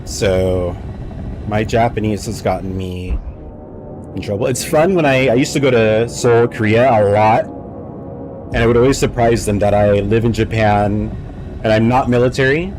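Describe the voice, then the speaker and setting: A man's voice: lowish voice, unhurried, slight background noise. A young man streaming a game and telling rambling stories in casual chat, talking into a headset mic at home. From United States. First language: English. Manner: rambling, anecdotal, animated storytelling. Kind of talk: casual live-stream chat while gaming.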